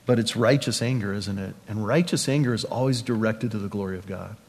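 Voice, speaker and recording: A man's voice: lowish voice, fairly quick, a little mellow. A man preaching a conversational verse-by-verse sermon, often restating phrases and putting questions to his listeners. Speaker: pastor, preacher. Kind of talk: sermon, verse-by-verse Bible teaching to a congregation. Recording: long hall reverb.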